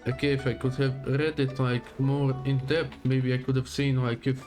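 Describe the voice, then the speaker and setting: Casual male game streamer, mid-pitched. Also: flat delivery, moderate pace, a little mellow. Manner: muttering asides, reacting to the game. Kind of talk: casual live game commentary. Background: faint game music.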